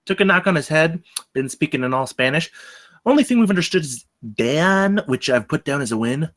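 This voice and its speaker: An actor playing an earnest, naive rookie campus security cadet in a comedy table read, excitable and eager. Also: male voice, fairly high pitch, fairly quick. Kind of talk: comedy script read aloud.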